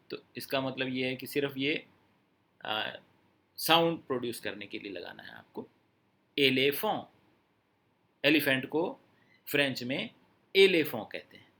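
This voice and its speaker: A man teaching in a slow, unhurried manner, pausing often to let points sink in. His voice is bright and a little high for a man. He explains patiently, sliding between Hindi and English phrases. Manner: slow, patient explaining with drawn-out pauses and fillers. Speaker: French teacher. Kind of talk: online language lesson.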